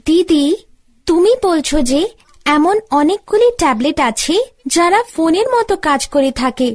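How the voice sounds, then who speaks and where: Woman voicing a very high-pitched curious cartoon child. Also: animated, moderate pace, no room sound. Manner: inquisitive, asking questions. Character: curious young child pupil in a cartoon lesson. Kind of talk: scripted cartoon tutorial dialogue.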